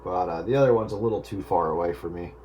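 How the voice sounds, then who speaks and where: Man, lowish voice, amateur radio operator, casual chat, very noisy transmission. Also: fast. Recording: radio transmission, heavy static and clicks.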